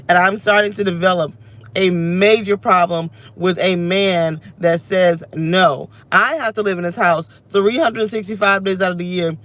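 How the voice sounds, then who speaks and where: A woman's voice: fairly low pitch, fairly bright tone. A woman in her thirties with a slightly scratchy voice rants into her phone from the driver's seat of her car. She talks in an emotional, tumbling way, restarting sentences and circling back as she vents. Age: 30s. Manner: venting, emotional, restless, self-interrupting. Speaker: vlogger. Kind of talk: unscripted personal vlog rant. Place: inside her parked car. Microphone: phone camera held close.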